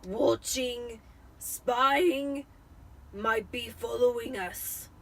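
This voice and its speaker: A woman voicing a bullying, blustering middle-aged uncle in a dramatic storybook reading, with a shouting, sneering manner. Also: on the high side, very slow, heavily acted, poor audio. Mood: muttering wildly.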